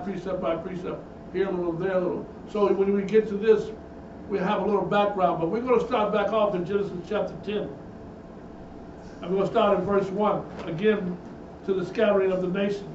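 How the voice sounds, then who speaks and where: A man preaching a Bible teaching sermon as a minister, emphatic and repetitive, building in intensity. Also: sits high. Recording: echoey, distant, dull room sound with noise.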